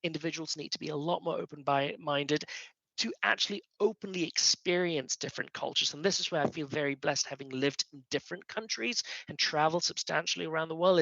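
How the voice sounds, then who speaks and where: A man's voice: fairly high pitch, vocal fry, fairly bright tone, no echo, lo-fi sound. A middle-aged man from Malaysia speaking earnestly and emphatically as a panelist, reflecting on personal experience.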